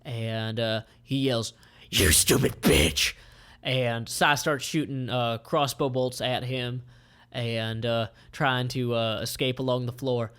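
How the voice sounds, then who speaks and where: A man narrating a comic informally, halting and offhand as he describes what happens. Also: mid-range voice, fairly even intonation, a bit bright, clean tone. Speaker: comic co-creator and reader.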